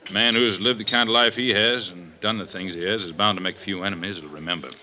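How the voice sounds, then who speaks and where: A gruff cop of 1950s radio drama, world-weary and folksy. His sharp, hard-edged voice is slightly low. The old recording is muffled, with some noise. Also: steady tone, fast talker. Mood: reasoning, blunt. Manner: blunt, folksy, offhand. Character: gruff, world-weary male police inspector. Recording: dull, noisy vintage 1950s radio recording.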